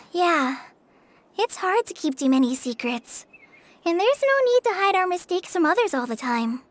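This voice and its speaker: A gentle young traveler. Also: female voice, very high-pitched, big pitch swings, unhurried, full character voice. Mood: reassuring. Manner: gentle, reassuring, flustered when caught. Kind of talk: game voice acting.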